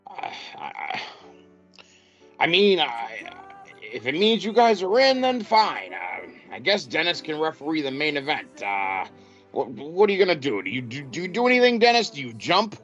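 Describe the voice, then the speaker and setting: A man's voice: on the high side, big pitch swings, normal speed, a bit bright, highs cut off, faint music behind. A man acting in a comedic audio play as a wheedling, easily exasperated city wrestling promoter who stammers. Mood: baffled.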